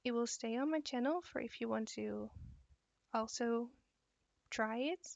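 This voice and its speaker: A woman's voice: fairly high pitch, a little slow, vocal fry, no echo. A young Dutch woman teaching drawing on a live stream, tired late at night, answering chat as she works. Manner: relaxed, reads and answers chat questions while drawing. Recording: dull, dry sound.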